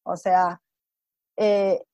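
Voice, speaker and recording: A woman's voice: fairly low pitch, level tone, extremely slow, warm tone, no echo, dull audio. A woman from Peru with a Peruvian accent, chatting casually and telling personal stories, slipping in English words and acting out what people said. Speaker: interview guest.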